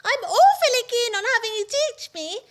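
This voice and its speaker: A very high, squeaky voice that sounds almost like a child's, belonging to an eager young woman who gushes over everything. She speaks in the breathless, bright style of a 1930s radio play, with her words tumbling out. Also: very animated, normal speed. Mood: gushing. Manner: breathless, gushing.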